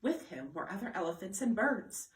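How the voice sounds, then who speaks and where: A woman's voice: medium pitch, big pitch swings, moderate pace. A woman hosting a children's storytime, reading aloud expressively and putting questions to the young listeners. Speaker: children's library storytime host. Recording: roomy echo, distant microphone.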